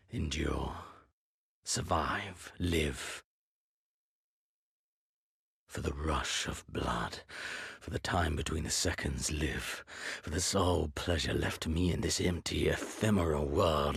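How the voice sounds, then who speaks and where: An actor playing a cold, bloodthirsty imperial prince in a game cutscene, speaking with grandiose, deliberate disdain. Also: male voice, rumbling low, gravelly, husky, no room sound, full character voice. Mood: exultant. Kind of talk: dramatic game cutscene acting.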